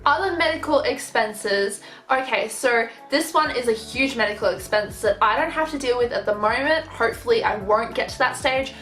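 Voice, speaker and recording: A woman's voice: a little high, normal speed, few pauses, fairly bright tone, echoey room, soft background music. A young woman vlogger talking frankly to camera in a chatty, casual way, full of fillers. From Australia.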